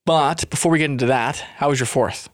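A man's voice: medium pitch, brisk, dry recording, studio quality. A young adult man, co-hosting a podcast in casual, joking chat with his partner and laughing often. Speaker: podcast co-host.

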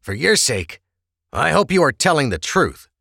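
A man's voice: on the high side, very animated, moderate pace, creaky, sharp tone, slightly rough, no echo, studio quality, pitched above the performer's own voice, exaggerated voice. A man voicing stern, scornful prison guards, raising his voice into a harsh, scolding tone. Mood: scheming.

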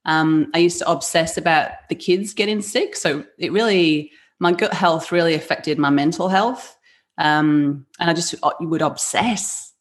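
A woman's voice: low voice, unhurried. A woman in her forties from the northeast of England with a Yorkshire-tinged northern accent, chatting as an interview guest, upbeat and full of fillers and restarts. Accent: Yorkshire-tinged northern English. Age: 40s. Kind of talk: casual interview chat.

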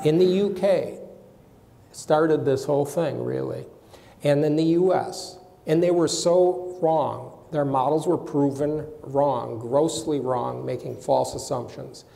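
Gravelly, emphatic panelist in a hall. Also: male voice, mid-range voice, steady tone, unhurried, dark tone. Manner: emphatic, insistent, repeats words when starting. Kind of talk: panel discussion argument. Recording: hall with long reverb.

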